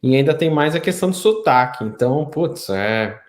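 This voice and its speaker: A man's voice: on the high side, animated, moderate pace. Brazilian man hosting, in an echoey room. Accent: Brazilian Portuguese. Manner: explanatory, informal. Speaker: interview host. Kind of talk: casual conversational interviewing. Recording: roomy, echoey sound.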